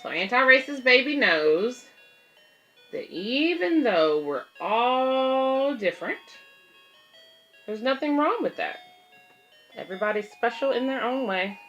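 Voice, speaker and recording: A woman's voice: fairly high pitch, lively intonation, a little slow, long pauses, fairly bright tone, dull audio, soft background music. A woman reading a picture book aloud as a babysitter, explaining it simply to children and parents with casual asides. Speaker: babysitter and children's story reader.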